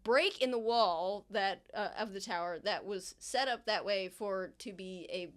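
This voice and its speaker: A woman's voice: medium pitch, a little slow. A young adult woman who co-hosts a podcast and runs a tabletop game. She chats casually and recaps the last session in a rambling way, with lots of fillers and joking asides. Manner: chatty, rambling, many fillers and self-mocking asides.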